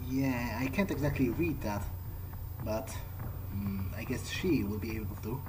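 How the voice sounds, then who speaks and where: A man giving in-character video game commentary, thinking aloud in a halting, musing way. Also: mid-range voice, noisy background. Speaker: gamer doing an in-character playthrough. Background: game music.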